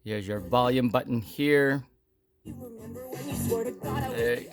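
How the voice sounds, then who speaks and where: A man with a mid-pitched voice talks slowly through an unscripted hands-on demo. He explains things in a plain, low-key way, breaking his sentences into short, halting phrases with pauses. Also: huge pitch swings, music in the background. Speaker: product demonstrator.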